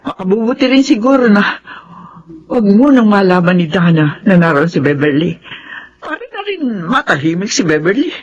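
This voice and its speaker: A woman's voice: medium pitch, sing-song, moderate pace, natural voice. An actress playing a compassionate middle-aged mother in a Filipino radio drama. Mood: troubled. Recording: dull, lo-fi radio recording.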